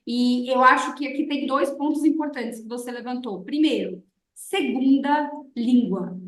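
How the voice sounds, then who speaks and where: A woman in her late twenties with a Brazilian accent hosts a casual conversation. Her voice is clearly high, and she talks emphatically in colloquial phrases. The recording is echoey, as if she sits some way from the microphone. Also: unhurried. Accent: Brazilian Portuguese. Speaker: interview host, English teacher. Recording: echoey room, distant from microphone.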